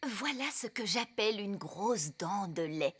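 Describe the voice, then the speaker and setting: A woman's voice: mid-range voice, animated, measured pace, background noise, natural voice. A woman voicing a bustling, overconfident fairy nanny in a French cartoon dub, theatrical and self-assured. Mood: careful, matter-of-fact.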